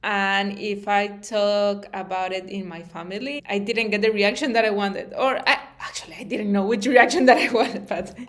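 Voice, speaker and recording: A woman's voice: medium pitch, steady tone, normal speed, hardly any pauses, a bit bright. A woman from Costa Rica with a Costa Rican Spanish accent speaks earnestly and informally as a channel host, with non-native English phrasing. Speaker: YouTube channel host and interviewer. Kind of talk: informal personal talk to camera.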